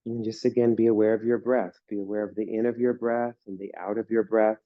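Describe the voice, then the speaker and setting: A man guiding a yoga class through slow breathing and relaxation, speaking in calm, soothing instructions. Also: lowish voice, no echo, phone-quality sound. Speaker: yoga teacher.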